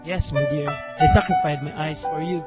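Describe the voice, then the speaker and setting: A man's voice: a little high, fairly even intonation, a little fast, natural voice. A young man playing a lovesick, self-sacrificing hero in a student radio drama, earnest and melodramatic. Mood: tender. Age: young adult. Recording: muffled amateur recording, music behind.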